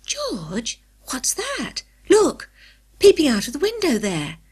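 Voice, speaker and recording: A woman voicing a gentle, tender-hearted little girl in a children's story, with a soft manner. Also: mid-range voice, wildly swooping pitch, a little slow, slightly rough, full character voice. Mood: startled.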